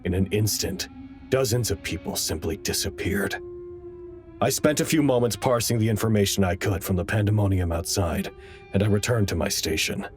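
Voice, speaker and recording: Guy acting low voiced worried researcher, earnest and dramatic audio drama type, music under it. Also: moderate pace, close to an everyday voice. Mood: grave, uneasy. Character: anxious, earnest male facility researcher narrating crisis logs.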